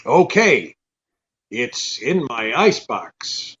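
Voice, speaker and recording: An actor playing a shrewd, hard-boiled private detective in old time radio style, clipped, wry and commanding. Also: male voice, medium pitch, big pitch swings, slow, a bit bright. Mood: brisk, wry. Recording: slightly dull video-call audio.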